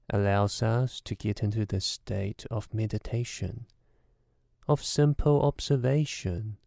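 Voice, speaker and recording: A man guiding a meditation, giving measured instructions in short phrases with deliberate pauses. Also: mid-range voice, vocal fry, warm tone, no echo, clean studio sound. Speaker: meditation guide. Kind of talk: guided meditation narration.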